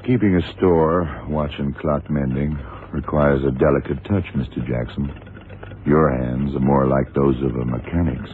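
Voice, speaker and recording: An actor playing a calm, shrewd blind FBI investigator with a measured, probing delivery in an old radio drama. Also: male voice, rumbling low. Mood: cool, probing. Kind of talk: 1970s radio drama acting. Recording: tinny, noisy old radio recording.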